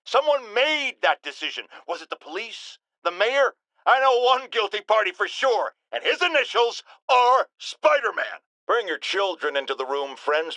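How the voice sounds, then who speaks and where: A man's voice: sits high, sharp tone, no room sound, heavily acted, rough recording. An actor playing a blustering, indignant middle-aged man who hosts an opinion radio show in a video game, pompous and theatrical. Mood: grave, preachy. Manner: pompous, theatrical, emphatic delivery. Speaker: talk radio host. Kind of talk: bombastic opinion radio monologue.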